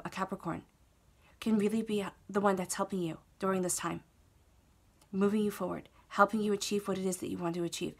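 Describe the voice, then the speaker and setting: A woman with a cold, sounding stuffed up, reading tarot cards alone in a steady, reassuring way, often repeating phrases and checking in with "okay?". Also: mid-range voice. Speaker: tarot reader. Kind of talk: solo tarot reading to camera.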